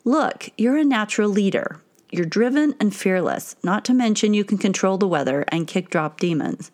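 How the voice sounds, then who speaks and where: A woman's voice: medium pitch, expressive, moderate pace, darkish tone, close to an everyday voice. A woman voicing an eager, hotheaded male disciple who talks in a pushy, insistent way.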